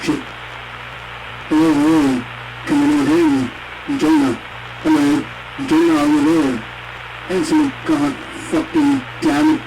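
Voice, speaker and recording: A man's voice: fairly high pitch, animated, slow, pauses often. Noisy radio transmission, man acting commanding resistance leader from the future, urgent, horror radio drama.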